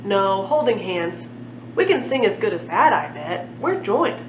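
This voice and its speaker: A woman's voice: medium pitch, very animated, unhurried, reverberant, lo-fi sound, background noise. A woman voicing rude, childish conjoined twin counts who mutter and bicker in bad grammar in a storybook reading. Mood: cheeky, muttering. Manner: ungrammatical, muttering, cheeky.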